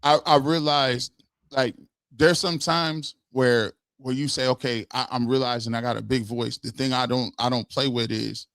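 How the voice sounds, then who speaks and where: A man hosting a casual live-stream podcast, talking in an animated, slangy way with frequent laughs. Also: mid-range voice, moderate pace, dry recording, clean studio sound. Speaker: podcast host.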